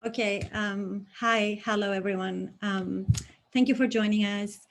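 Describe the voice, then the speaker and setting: A woman's voice: medium pitch, steady tone. Earnest Greek-born woman presenting. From Greece. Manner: earnest, measured, frequent ums and uhs. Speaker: coalition member presenting a manifesto. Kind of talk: prepared online presentation.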